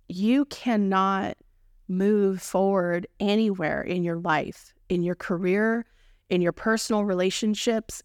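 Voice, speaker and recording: Texan woman with a smooth, dry voice. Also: medium pitch, no echo. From Texas, United States. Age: 30s. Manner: playful, expressive, casual slang, cheers herself on. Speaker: podcast host. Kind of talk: solo podcast monologue.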